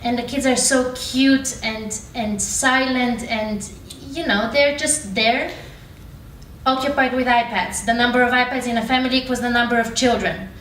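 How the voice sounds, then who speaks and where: Distant, higher-pitched young woman speaking publicly. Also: fairly even intonation, moderate pace, fairly bright tone. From Bulgaria. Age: 20s. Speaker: student speaker on a youth panel. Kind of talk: prepared public speech. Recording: roomy, distant mic, background room noise.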